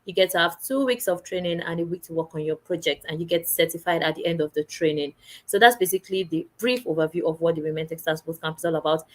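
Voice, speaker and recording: A woman's voice: on the low side, fast. A woman explaining a training program, listing its courses one by one. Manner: steady, lists items one by one. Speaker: program presenter. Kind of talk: informational explanation.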